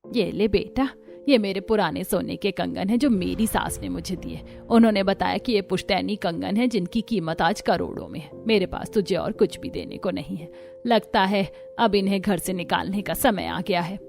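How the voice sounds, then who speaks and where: A woman voicing a gentle, solemn mother in a cartoon story. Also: medium pitch, normal speed, creaky, faint music behind, voice pushed down, lightly acted. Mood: solemn, giving. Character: selfless, solemn mother of a grown son. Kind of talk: Hindi cartoon story acting.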